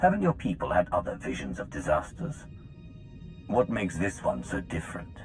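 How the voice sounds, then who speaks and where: A resolute outsider hero in a game, low voice, measured and earnest, bit of music behind. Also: male voice, wildly swooping pitch, darkish tone, poor audio. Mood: guarded, questioning. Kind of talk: video game cutscene acting.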